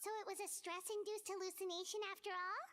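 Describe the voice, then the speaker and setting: A woman's voice: very high voice, flat delivery, fast talker, a bit bright. A woman voicing a small, chirpy, childlike fairy companion in a video game, with a perky manner. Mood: curious. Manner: speaks of self in third person, perky. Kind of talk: video game character acting.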